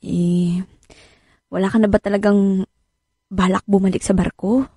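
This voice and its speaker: An actress with a Filipino accent playing an anxious, practical wife in a radio drama, probing and gently pleading in a conversational way. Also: female voice, mid-range voice, level tone, measured pace, breathy edge, no room sound, studio quality. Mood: worried, careful.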